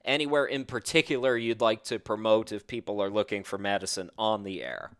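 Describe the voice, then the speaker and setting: A man's voice: on the low side, a little fast, a bit bright, smooth. A man, hosting a casual interview in an informal, enthusiastic way. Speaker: podcast host, interviewer.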